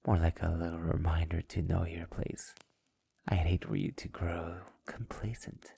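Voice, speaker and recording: A man playing a sadistic, possessive immortal vampire master in an intimate ASMR roleplay, taunting theatrically in a breathy, gravelly voice that often nears a whisper. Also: low-pitched, flat delivery, a little slow, warm tone, full character voice. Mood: smug.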